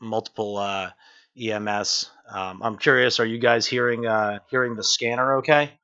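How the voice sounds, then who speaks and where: A man hosting a live breaking news stream casually, halting and thinking aloud, on dull lo-fi audio. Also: lowish voice, fairly even intonation, pushed and bright, clear, resonant tone.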